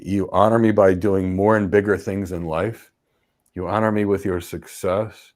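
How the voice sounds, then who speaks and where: Low-voiced host, deeply touched. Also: male voice. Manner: touched, grateful, soft-spoken. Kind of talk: live stream talk.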